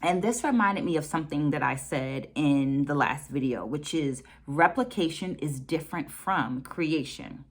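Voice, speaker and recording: Low-pitched American woman, deliberate speaker. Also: slightly rough. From United States. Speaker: dance educator hosting a book discussion. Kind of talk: reflective video book review to viewers.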